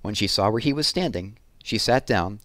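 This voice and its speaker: Low-pitched man reading a story aloud. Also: expressive, moderate pace, a bit bright, no echo. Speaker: storybook reader. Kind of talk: read-aloud narration of a children's story.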